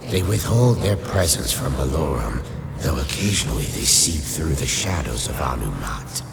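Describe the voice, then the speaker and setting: A man playing a commanding undead lich, a skeletal sorcerer, in a dark fantasy radio play, speaking gravely and formally. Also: extremely low pitch, exaggerated voice. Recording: cavernous echoing reverb, music underneath.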